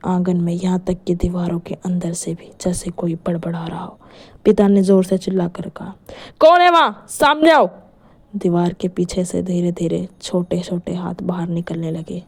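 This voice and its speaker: Female storyteller for scripted horror tales, dark, soft-edged tone. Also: lowish voice, slightly rough. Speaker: narrator.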